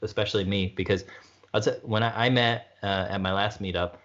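A man with a low voice talks loosely and casually, circling his point with lots of "like"s and restarts. The audio is a bit dull. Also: fairly even intonation, a little fast, a bit bright. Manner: rambling, many "like"s and restarts. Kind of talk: casual conversation.

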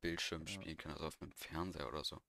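A man's voice: a bass voice, fairly even intonation, racing, vocal fry, pushed and bright, slight background noise. A young man, co-hosting a casual chat about video games in youth slang mixed with English gaming words. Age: young adult. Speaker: podcast co-host.

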